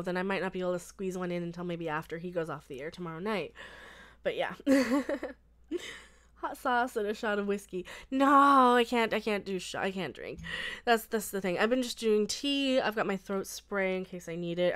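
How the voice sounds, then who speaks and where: A young woman hosts a live stream while sick, her voice stuffy and congested. Her tone stays smooth and clear in spite of the cold. She rambles through chat with viewers, laughing often. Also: medium pitch, a bit bright. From Colorado. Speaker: livestream host.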